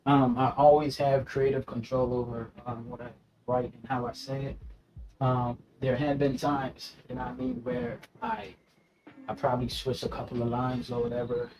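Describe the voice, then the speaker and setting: A man's voice: medium pitch, moderate pace, over music, rough recording. A man chatting casually in an interview, laid-back and slangy, laughing often and filling with "you know what I mean.". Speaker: rapper guest.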